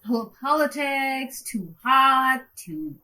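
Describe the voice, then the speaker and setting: Woman in her 30s from Pakistan, bright and fairly high, frank casual co-host. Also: animated, extremely slow, low-quality recording. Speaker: podcast co-host. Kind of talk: casual podcast chat.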